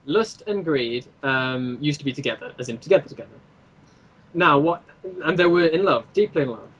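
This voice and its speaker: A man's voice: a little high, animated, moderate pace, a bit bright, breathy edge, lo-fi sound. A man chatting casually as an interview guest, explaining his series in a rambling, eager way. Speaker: guest, creator of an audio drama series.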